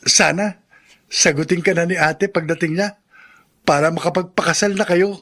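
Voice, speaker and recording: An actor playing a cheerful, affectionate young graduate, an eager little brother, in a Filipino radio drama. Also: male voice, high voice, fairly even intonation, moderate pace, a bit bright. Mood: grateful, hopeful.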